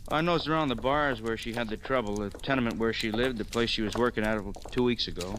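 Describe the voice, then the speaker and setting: A man's voice: medium pitch, fast talker, a bit bright, natural voice. Man playing a wry, slangy radio cop, noisy recording. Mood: wry reporting. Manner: clipped, slangy, wry reporting. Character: brisk, wry, slangy male police sergeant. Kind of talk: old-time radio crime drama acting.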